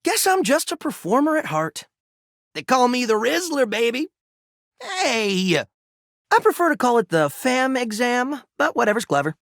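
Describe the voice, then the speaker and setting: A man's voice: extremely high pitch, sing-song, a little slow, creaky, fairly bright tone, no room sound, clean studio sound, exaggerated voice. A man voicing a hyperactive goofball game mascot, campy and theatrical, full of playful silliness. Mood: cheeky, playful.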